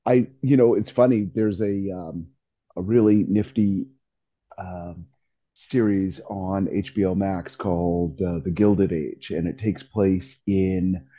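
A man in his sixties chatting casually in a group discussion, rambling and thinking aloud in an animated way. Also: low voice, slow pace, dull audio. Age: 60s. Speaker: discussion participant.

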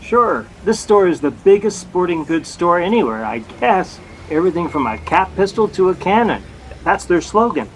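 A sulky american husband in an old radio comedy, sarcastic, kinda bumbling, bit of noise. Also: male voice, sits high, sing-song, normal speed. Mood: boastful. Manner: sulky sarcasm, sheepish stammering. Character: grumbling, jealous young husband, bumbling and boastful. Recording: noisy old-time radio recording.

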